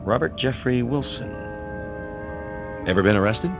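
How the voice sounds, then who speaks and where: An actor playing an armed airline security officer, placating yet authoritative, in a vintage-style radio drama. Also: male voice, medium pitch, animated, unhurried, creaky, music in the background. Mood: casual, placating.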